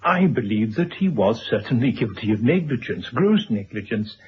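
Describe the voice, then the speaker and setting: An actor as a courtly older gentleman, dull recording. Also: medium pitch, big pitch swings, moderate pace, lightly acted. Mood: sombre, regretful. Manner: formal, wordy, courteous, hesitant. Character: courtly, kindly older gentleman, grave and apologetic. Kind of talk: 1970s radio drama acting. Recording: dull old radio recording, clear noise.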